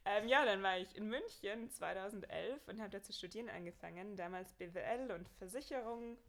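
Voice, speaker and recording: Young bavarian woman guesting on a podcast, mid-pitch voice, lively, tells stories easy. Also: a little fast, vocal fry. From Bavaria, Germany. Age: young adult. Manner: lively, laughs often, storytelling. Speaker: guest, marathon runner. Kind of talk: casual podcast interview.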